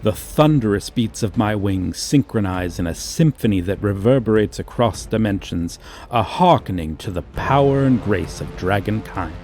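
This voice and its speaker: A man's voice: on the low side, lively intonation, moderate pace, few pauses, darkish tone, soft background music, lightly acted. A man voicing a haughty, courting dragon with pompous, archaic diction in an ASMR fantasy romance roleplay. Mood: grand, reverent. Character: haughty, archaic-speaking male dragon, tenderly courting a human.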